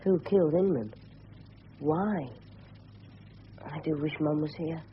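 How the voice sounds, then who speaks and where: An actor playing an earnest, polite, slightly hesitant teenage English schoolboy in a 1970s radio drama. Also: male voice, on the high side, slow. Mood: worried. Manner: polite, hesitant, well-spoken. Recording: muffled, noisy old radio broadcast recording.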